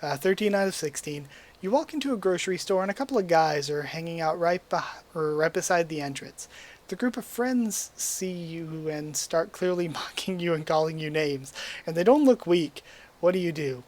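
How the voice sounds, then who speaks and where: Slightly high-voiced young man, rambling casually. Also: moderate pace. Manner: relaxed, rambling, with frequent ums and likes. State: losing his voice. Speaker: YouTuber. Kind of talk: casual quiz commentary to webcam. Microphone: desk mic at a webcam setup.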